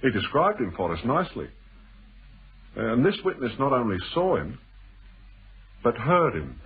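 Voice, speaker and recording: A very husky, rough-edged British man in a radio thriller, sly and insinuating. His speech swings widely in pitch as he teases and probes, on a muffled old radio recording. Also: big pitch swings, full character voice. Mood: sly storytelling. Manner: teasing, probing, deliberate. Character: shrewd, insinuating male stranger, needling storyteller in a thriller. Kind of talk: British radio drama acting. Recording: muffled band-limited old radio broadcast.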